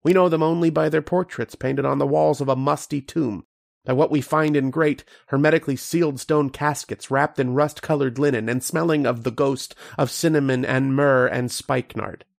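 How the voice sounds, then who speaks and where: Man acting a haunted archaeologist, gravelly edge. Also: mid-range voice, steady tone, lightly acted. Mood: brooding, haunted. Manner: dramatic first-person storytelling with deliberate pauses. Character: earnest, haunted male archaeologist narrating his uncanny tale. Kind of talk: old-time radio horror drama acting.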